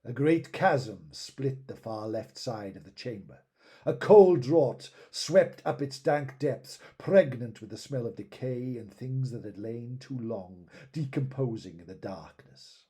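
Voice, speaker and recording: A man reading fantasy fiction aloud as a narrator, in a measured, dramatic storytelling style with phrases that tail off softly. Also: medium pitch, animated, slightly rough.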